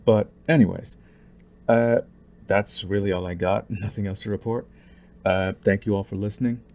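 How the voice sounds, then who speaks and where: A man's voice: lowish voice, steady tone, moderate pace, darkish tone. A man who makes audio roleplays, talking to his listeners in an informal, earnest outro. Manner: informal, earnest, says y'all. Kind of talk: casual outro chat to listeners.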